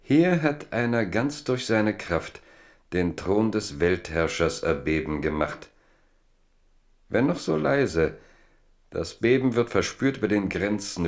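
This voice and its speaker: Deep-voiced, measured radio play narrator, male. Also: expressive. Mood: measured, grave. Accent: standard German. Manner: measured, deliberate phrasing. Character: grave chronicler narrator of a historical epic.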